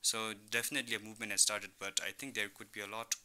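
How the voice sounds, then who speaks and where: Bright-voiced young man from Thailand, explaining. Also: on the low side, flat delivery, quick pace. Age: young adult. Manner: explains with examples and frequent "you know". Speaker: entrepreneur guest, online business founder. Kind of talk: conversational radio interview answers. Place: radio studio.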